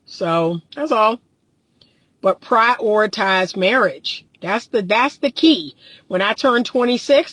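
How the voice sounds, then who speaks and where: A woman's voice: mid-range voice, fairly bright tone, no echo. A woman in her thirties, a relationship coach, talking bluntly and casually from her car, reading viewer comments, laughing often. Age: 30s.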